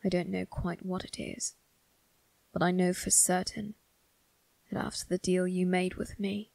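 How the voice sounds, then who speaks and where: Casting: woman as menacing male vampire crime boss for ASMR drama. Very slow, husky and gravelly, condescending, deliberate, close dry sound. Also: on the low side, level tone, a little mellow, dry recording. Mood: taunting. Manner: slow, deliberate, measured taunting. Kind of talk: ASMR roleplay monologue addressed to the listener.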